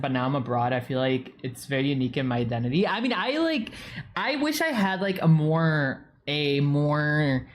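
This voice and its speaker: A young man with a New England accent, chatting casually with viewers on a live stream and rambling with lots of fillers. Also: on the high side. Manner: rambling, heavy use of "like". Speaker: streamer reading chat.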